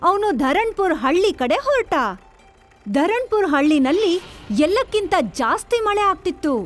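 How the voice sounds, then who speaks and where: A woman narrates a children's story from a script. Her voice is extremely high in pitch. Soft music plays behind her narration. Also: expressive, moderate pace.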